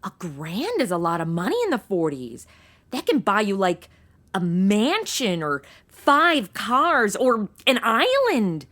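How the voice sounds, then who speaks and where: A woman's voice: mid-range voice, wildly swooping pitch, slow, fairly bright tone, exaggerated voice. An actress playing a breezy, scatterbrained young modern woman in a comedy audio drama, flippant and full of slang. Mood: glib, curious. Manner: flippant, slangy Valley-girl phrasing with "like" and OMG. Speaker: lead character and narrator.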